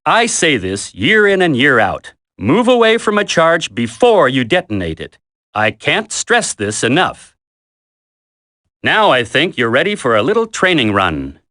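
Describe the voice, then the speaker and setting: A stern combat instructor from a video game, drilling a trainee with blunt, emphatic orders. The voice sits fairly high for a male and swings in pitch with a forceful, bright edge. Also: wildly swooping pitch, unhurried, no echo, clean studio sound, exaggerated voice. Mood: stern, wry. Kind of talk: video game tutorial voice acting.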